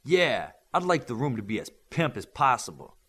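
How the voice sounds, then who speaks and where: A man voicing an excitable, blunt teenage boy superhero, talking casually and emphatically. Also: medium pitch, moderate pace, slightly rough, heavily acted. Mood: eager.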